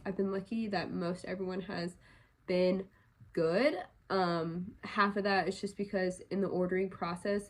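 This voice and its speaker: Young woman, casual vlogger, slightly congested nose, loose rambling delivery, mid-pitched everyday voice. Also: darkish tone. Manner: rambling, filler-heavy talk. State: a little stuffed up and congested. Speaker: crochet vlogger. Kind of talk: casual vlog chat.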